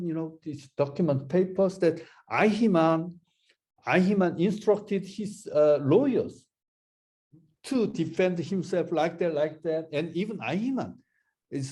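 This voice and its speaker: Halting man talking through an academic Q&A. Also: high-pitched, animated, dark tone, breathy edge. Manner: halting, repeats words, many restarts. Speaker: lecturer answering questions. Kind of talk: academic Q&A discussion. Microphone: video call.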